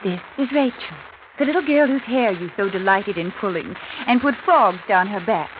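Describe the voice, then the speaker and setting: Woman as teasing, lively young lady. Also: medium pitch, moderate pace, close to an everyday voice. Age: young adult. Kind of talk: old radio drama acting. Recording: tinny, noisy old radio recording.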